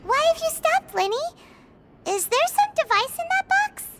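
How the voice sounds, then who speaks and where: A woman voicing a tiny, excitable female fairy companion in a video game, with a shrill, bubbly way of exclaiming. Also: normal speed, heavy background noise. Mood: curious. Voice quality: shrill, squeaky. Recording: loud game music behind.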